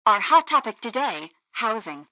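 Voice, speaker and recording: A perky young radio presenter, high and bright. She is chirpy and earnest, with lively swings of pitch, in a dry studio sound fit for a satirical game broadcast. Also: normal speed, no echo. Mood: presenting brightly. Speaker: radio co-host and interviewer.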